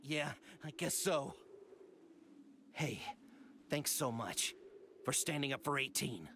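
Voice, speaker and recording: A man's voice: medium pitch, lively intonation, takes it slowly, bright, forceful tone, a little hiss or hum. A man playing an earnest, hot-headed, good-hearted martial arts hero, emphatic and informal, in anime game style. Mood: friendly, grateful. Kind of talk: dubbed anime video game acting. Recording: music behind the voice.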